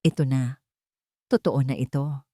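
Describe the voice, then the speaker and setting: A woman's voice: a bass voice, fairly even intonation, a little slow, mellow, no echo, studio quality, pitched below the performer's own voice. A woman voicing a stern, wealthy man in an audio story, cold and curt with an anguished edge. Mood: resigned.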